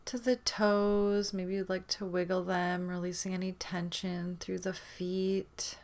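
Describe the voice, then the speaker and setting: A woman leading a guided meditation in a gentle, soothing manner, leaving long pauses between phrases. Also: lowish voice, little pitch movement.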